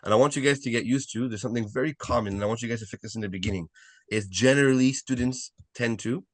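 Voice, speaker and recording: A man's voice: medium pitch, fast talker, no room sound. A man teaching a live online beginner language class, explaining step by step, repeating key points and often checking with "right?". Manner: repetitive, checks understanding with frequent "right?".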